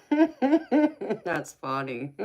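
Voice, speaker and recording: Woman in her forties, tarot reader, relaxed and slow-spoken, chatting back to viewer comments. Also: medium pitch, highs cut off. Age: 40s. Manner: easygoing, answers viewer comments by name. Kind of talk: casual live-stream chat with viewers.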